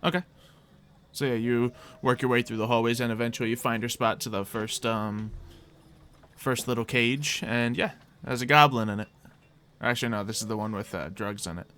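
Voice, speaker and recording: A man's voice: medium pitch, steady tone, normal speed, a bit bright. A man working as game master, narrating a tabletop game in a casual, improvised way.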